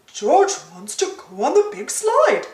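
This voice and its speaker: A woman's voice: very high-pitched, huge pitch swings, takes it slowly, darkish tone, roomy sound, far from the mic, voice pushed up, full character voice. A woman voicing a kindly, nervous father pig in a storybook, with a hesitant, reassuring manner. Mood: gently concerned.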